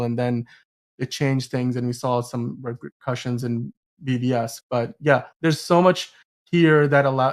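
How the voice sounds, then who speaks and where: Guy cohosting a podcast, casual chat, kinda rambly but into it, medium pitched voice. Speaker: podcast co-host. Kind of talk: casual film and TV discussion.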